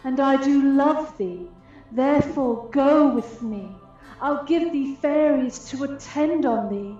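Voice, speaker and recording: A high-voiced, regal fairy queen in love, played by a woman, declaims Shakespearean verse in a radio drama. She is stately and unhurried, sensual yet commanding. The sound is very echoey, with music behind. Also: a little mellow. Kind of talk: Shakespearean radio play acting. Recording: echoey, slightly dull audio, music behind.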